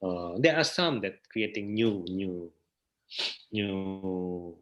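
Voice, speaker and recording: A man with an Indonesian accent answers interview questions in a fairly low voice. He speaks very slowly and thoughtfully, hesitating and repeating phrases while he searches for the right words. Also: animated, muffled recording. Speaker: interview guest, theatre researcher and festival director.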